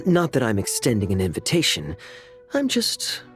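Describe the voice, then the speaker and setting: A man voicing a suave, easygoing knight in a video game, talking in a smooth, teasing way. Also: medium pitch, fairly bright tone, exaggerated voice. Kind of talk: video game character dialogue.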